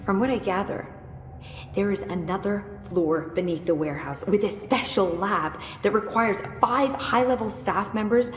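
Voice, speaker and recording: An actress playing a witty, curious young research scientist in a video game, explaining things in a precise, wry, chatty way. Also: female voice, medium pitch, natural voice. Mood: chatty, playful. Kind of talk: video game dialogue acting. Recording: echoey hall reverb with noisy game ambience.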